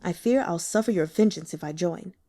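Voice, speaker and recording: A woman's voice: fairly low pitch, a little fast, warm tone, voice pushed down, lightly acted. A woman voicing a guarded, frail young strategist. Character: calm, shrewd, frail young male strategist.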